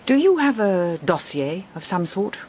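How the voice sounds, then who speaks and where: An actress playing a sceptical private detective in a British radio drama, English, questioning methodically. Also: female voice, medium pitch, sing-song, a little slow, darkish tone. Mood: probing, sceptical. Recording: clear background noise. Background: ambient noise.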